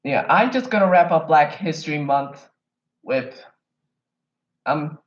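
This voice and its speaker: A man's voice: a little high, animated, slow. A man from Kenya talking casually and enthusiastically to the camera, rambling and wandering off his point now and then. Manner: enthusiastic fan, emphatic. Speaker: vlogger. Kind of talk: casual movie review monologue. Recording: echoey room, dull lo-fi sound.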